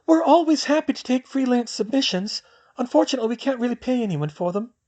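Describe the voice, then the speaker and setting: A man's voice: extremely high pitch, animated, quick pace, darkish tone, lo-fi sound, pitched above the performer's own voice. A man voicing a dreamy teenage witch who speaks matter-of-factly.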